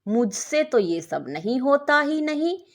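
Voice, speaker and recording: A woman's voice: high-pitched, sing-song, unhurried, voice pushed up, heavily acted. A woman storyteller voicing a nosy, scornful working woman who exclaims and needles with pity. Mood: amazed, complaining.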